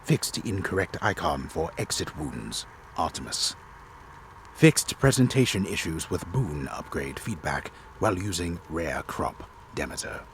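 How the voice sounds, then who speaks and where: A disembodied male game storyteller speaks from deep down in his range. He is solemn and deliberate, reading dramatically with sweeping, animated intonation. A faint ambient noise sits behind the voice. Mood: solemn, dramatic. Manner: theatrical, deliberate, solemn delivery. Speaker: narrator.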